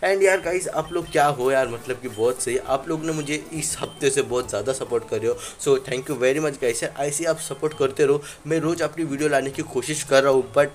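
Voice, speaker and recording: A man's voice: medium pitch, fairly quick, hardly any pauses. A young man casually commentating a handheld game, rambling with frequent fillers and English words mixed in, recorded on a phone. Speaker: gaming channel host. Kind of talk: casual Let's Play commentary.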